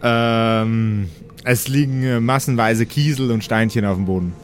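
A man's voice: medium pitch, measured pace. Male game master, mid-range voice, casual improvised narration over faint music.

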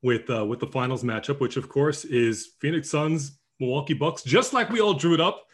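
A man's voice: medium pitch, slightly rough. A man co-hosting a sports podcast, talking casually and often restarting his sentences. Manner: halting, many restarts. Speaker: podcast co-host.

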